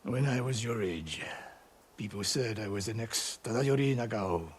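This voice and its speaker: An older man playing a stern, proud and regretful samurai archery master in a video game, speaking in grave, measured, terse phrases. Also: lowish voice, lively intonation, slight background noise, close to an everyday voice. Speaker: archery sensei. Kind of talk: dramatic video game acting.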